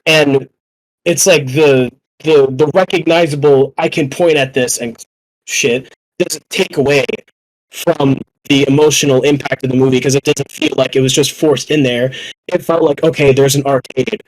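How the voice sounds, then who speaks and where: A man's voice: mid-range voice, steady tone, moderate pace, low-quality recording. A young man casually discussing films on a podcast, rambling and opinionated, with plenty of swearing. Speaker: podcast panelist.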